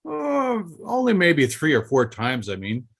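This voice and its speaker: A man's voice: a little high, huge pitch swings, a little slow, vocal fry, a bit bright, breathy edge, full character voice. A man playing a placating, matter-of-fact mob henchman in a live table read of a film script.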